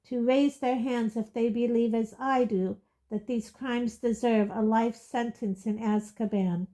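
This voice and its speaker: A woman's voice: on the high side, fairly even intonation, a little slow, close to an everyday voice. A woman voicing a harsh middle-aged male judge who bellows his verdicts. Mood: cold fury. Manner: loud, cold, bellowing.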